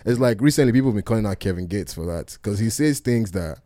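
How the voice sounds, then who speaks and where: A man's voice: on the low side, lively intonation, fast talker, dark tone, no room sound. A man co-hosting a podcast in a casual chat, blunt and opinionated, swearing freely. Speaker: podcast co-host.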